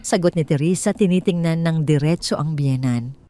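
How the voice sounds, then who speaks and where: A woman reads a dramatic story in a scripted storytelling style. Her voice is very mellow and soft-edged, sitting a bit lower than most women's. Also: normal speed.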